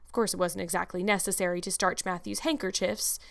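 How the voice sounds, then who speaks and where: A woman's voice: on the low side, steady tone, gravelly. Woman acting a stern, dry, no-nonsense older guardian. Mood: sarcastic. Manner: brisk, dry, scolding. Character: stern, sarcastic, practical middle-aged woman guardian.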